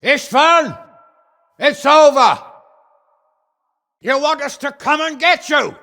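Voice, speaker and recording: An actor playing a gruff, blunt middle-aged nobleman who commands and curses in a medieval video game, with a rough voice. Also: very high-pitched, animated, slow, bright, forceful tone, no echo, clean studio sound, heavily acted. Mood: shouting out. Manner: blunt, commanding, sweary, sardonic. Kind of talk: video game character acting.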